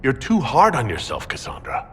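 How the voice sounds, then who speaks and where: A man playing a calm, kindly leader in a video game, measured and direct in manner. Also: medium pitch, slight background noise. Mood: reassuring. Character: calm, kindly male leader of a fantasy order. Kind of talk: video game protagonist acting.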